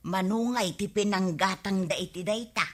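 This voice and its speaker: A woman's voice: mid-range voice, moderate pace, lightly acted. A woman playing a cheerful, showy village wife in an Ilocano radio comedy drama, exclamatory and boastful, with an Ilocano accent. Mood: chatty.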